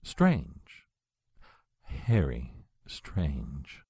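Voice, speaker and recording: An actor playing an old, courtly and menacing male vampire in an audio drama, speaking with a suave, husky voice. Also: a bass voice, drawn-out words, heavily acted. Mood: silky. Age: older. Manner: suave, flattering, then threatening.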